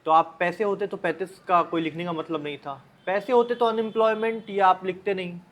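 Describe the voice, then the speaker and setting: An Indian man with a notably high-pitched voice gives informal advice as a vlogger. He speaks bluntly and directly to the listener, often repeating phrases and posing rhetorical questions. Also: fairly even intonation, fairly quick, slight background noise. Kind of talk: informal motivational advice talk to the viewer.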